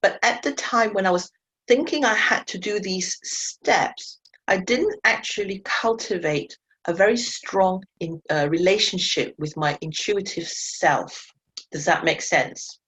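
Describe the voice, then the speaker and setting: A woman's voice: mid-range voice, a little slow, fairly bright tone. A woman teaching animal communication in a webinar, speaking earnestly and reflectively with frequent self-corrections; English is not her first language. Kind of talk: webinar talk to learners. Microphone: video call mic. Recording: dull lo-fi webinar audio.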